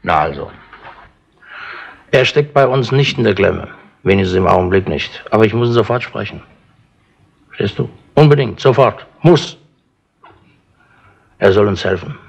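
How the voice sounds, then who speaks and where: An actor playing a domineering chief inspector, mocking and condescending, in a 1960s crime radio play. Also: male voice, on the low side, animated, normal speed, vocal fry, long pauses, breathy edge, highs cut off, heavily acted. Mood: pressing, impatient.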